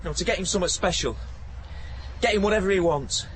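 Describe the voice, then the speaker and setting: An actor playing an anxious, earnest working-class dad with a colloquial Northern English accent in a radio play. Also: male voice, high voice, quick pace, fairly bright tone, low-quality recording. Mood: pleading. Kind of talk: naturalistic radio play acting. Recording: outdoor scene with faint traffic ambience noise.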